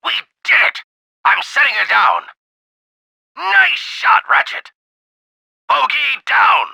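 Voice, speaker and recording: Casting: bold older alien wingman general for a game, wildly swooping gravelly delivery, loyal and commanding, over dry radio comms. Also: extremely high pitch, big pitch swings, slow pace, pushed and bright, no room sound, exaggerated voice. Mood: focused, commanding. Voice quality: gravelly, raspy. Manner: military, commanding, emphatic. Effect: radio filter. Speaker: wingman pilot giving orders over radio. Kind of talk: video game voice acting, combat radio chatter.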